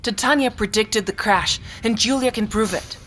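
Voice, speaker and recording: A woman's voice: fairly high pitch, normal speed, a bit bright, faint music behind. A woman playing an anxious, stubborn, suspicious character in a naturalistic audio drama, earnest and easily stung. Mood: insistent, uneasy.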